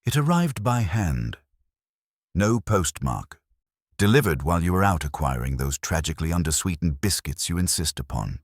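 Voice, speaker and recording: A man voicing a brisk, imperious Victorian detective from a mystery story, sardonic and clipped. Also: sits low, sing-song, no room sound, clean studio sound. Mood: dry, sardonic.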